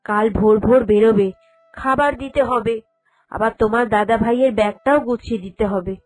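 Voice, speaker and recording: Woman as a gentle, dutiful young village wife. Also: on the high side, normal speed, close to an everyday voice, low-quality recording. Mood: busy, demurring. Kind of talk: cartoon character dialogue. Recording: music behind the voice.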